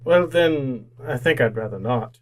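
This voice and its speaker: An actor playing a frightened, querulous old miser in an old-time radio drama, hesitant and pleading, often repeating himself. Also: male voice, medium pitch, lively intonation, a little slow, natural voice. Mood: reluctant.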